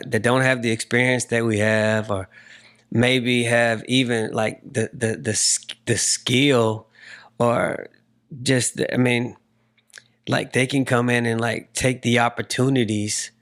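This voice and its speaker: Forties man hosting a casual interview, mid-pitched. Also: steady tone, slow pace, fairly bright tone. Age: 40s. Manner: circles toward his point with repeated phrases. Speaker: podcast host interviewing a guest.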